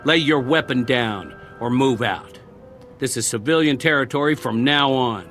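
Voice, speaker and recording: An actor playing a lonely, hopeful war survivor in a television drama, talkative and coaxing, with an American accent. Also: male voice, mid-range voice, a bit bright, faint music behind, natural voice. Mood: firm. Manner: talkative, coaxing, halting when moved.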